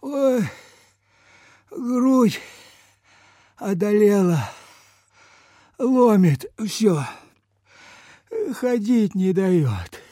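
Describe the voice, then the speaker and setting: An actor playing a frail, meek, sick old peasant in radio theatre, with humble, halting, sighing, breathless folk speech. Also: male voice, high-pitched, mellow, dry recording, clean studio sound, heavily acted. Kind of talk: mid-20th-century radio theatre acting.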